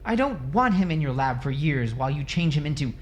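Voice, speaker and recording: A man's voice: a little high, very animated, fairly quick, faint music behind, pitched above the performer's own voice. A man voicing an angry, tearful mother in a heated audiobook family argument. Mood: tearful, snapping. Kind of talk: dramatic audiobook character dialogue.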